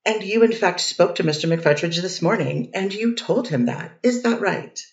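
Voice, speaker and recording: A woman's voice: on the low side, moderate pace, close to an everyday voice. Woman playing a defense lawyer, methodical questioning, distant roomy sound, dull muffled recording. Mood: steady. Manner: methodical cross-examining questions. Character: poised woman defense lawyer cross-examining. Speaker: defense attorney. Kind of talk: readers theater courtroom reading.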